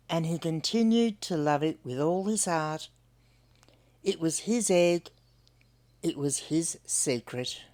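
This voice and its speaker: Slow, very animated older Australian woman reading stories. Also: sits low. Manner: expressive, addresses young listeners with questions. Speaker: children's story reader. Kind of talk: storybook read-aloud to children.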